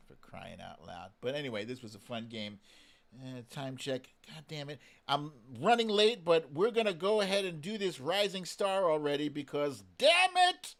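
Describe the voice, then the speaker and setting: A man's voice: on the high side, animated, moderate pace, gravelly, a bit bright, slight background noise. A man hosting a casual live stream, chatting playfully with exclamations as he addresses his viewers.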